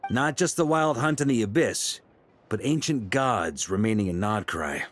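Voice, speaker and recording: An actor playing a confident, easygoing seasoned commander in a video game, explaining things in a measured, assured way. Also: male voice, on the low side, lively intonation, a little hiss or hum, natural voice. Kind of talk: video game dialogue acting. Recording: music behind the voice.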